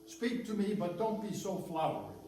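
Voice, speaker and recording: A man's voice: high voice, big pitch swings, moderate pace. A man playing a crotchety old miserly businessman in a radio play, querulous and exclamatory. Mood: anxious, wheedling. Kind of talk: stage radio-play acting. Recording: noisy, very echoey room, far from mic.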